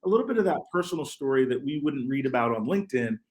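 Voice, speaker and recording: A man's voice: mid-range voice, animated, fast talker, a little mellow. A man hosting a podcast, welcoming his guest and asking easygoing questions. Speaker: podcast host. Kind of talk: conversational interview.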